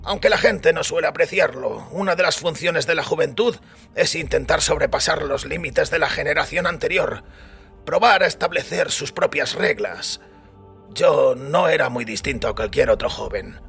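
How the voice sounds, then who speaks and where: An actor playing a weary older priest who recounts a wild youth in a wry, reflective way, with a raspy voice. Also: male voice, fairly high pitch, moderate pace, fairly bright tone, full character voice. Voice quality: raspy, gravelly. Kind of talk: dramatised audiobook acting. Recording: music bed under the voice.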